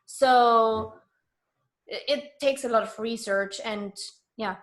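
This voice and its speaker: Higher-voiced woman in an informal interview. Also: slow pace. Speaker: interview guest. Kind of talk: conversational interview answers.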